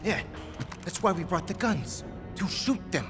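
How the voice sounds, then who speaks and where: An actor playing a cocky, gung-ho American soldier in a war game, brash and skeptical. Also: male voice, high voice, lively intonation, a little slow, music in the background. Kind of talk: cinematic war game acting.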